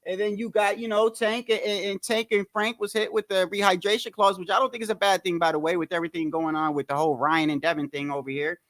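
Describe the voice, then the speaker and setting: High-voiced New Jersey man rambling on stream. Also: steady tone, fast, few pauses, a bit bright, no room sound. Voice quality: high-pitched voice. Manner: rambling, slangy, repeats himself. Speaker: boxing commentary livestream host. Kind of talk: casual livestream chat.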